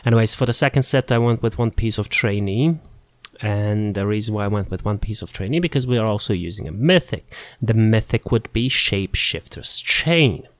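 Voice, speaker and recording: A man's voice: on the low side, animated, normal speed, dry recording. A man giving a casual, unscripted game build walkthrough in a rambling, informal way, thinking aloud as he goes. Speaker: gaming video creator presenting a character build.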